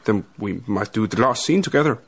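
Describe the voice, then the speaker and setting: An actor in a radio drama playing a courteous, pedantic down-and-out stage actor, deliberate and theatrical. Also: male voice, fairly low pitch, animated, creaky, a little hiss or hum. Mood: grandly earnest. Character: pedantic, theatrical down-and-out male actor, a wandering guest.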